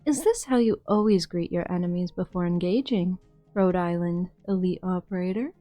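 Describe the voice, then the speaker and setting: A woman's voice: fairly low pitch, normal speed, warm tone, no room sound, faint music behind, natural voice. A woman playing a cool, formal female enemy fighter in a game fan dub. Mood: cool, wry.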